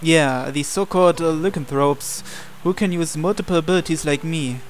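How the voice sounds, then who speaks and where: A man's voice: on the high side, moderate pace. A young man reading a visual novel's narration and dialogue aloud as the host. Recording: music behind the voice. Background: game background music.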